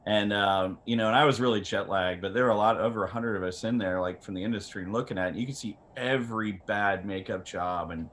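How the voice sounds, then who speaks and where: A man explaining technical matters informally as a panel expert, haltingly and repeating words, on a video call with some noise. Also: on the low side, a bit bright.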